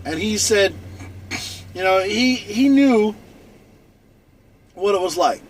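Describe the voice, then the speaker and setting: A man's voice: sits high, lively intonation, takes it slowly. A man in his forties from North Dakota, hosting a live stream in a rambling, repetitive casual monologue, often restarting and repeating phrases. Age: 40s. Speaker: livestream host. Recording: noisy outdoor traffic behind. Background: car and street noise.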